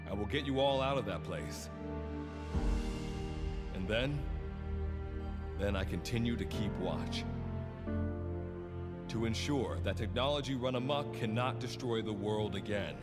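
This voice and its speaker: A grave, resolute visionary in a game cutscene. His low voice moves very slowly and deliberately, with solemn determination. Loud music runs behind him. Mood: solemn resolve. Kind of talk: scripted game cutscene dialogue.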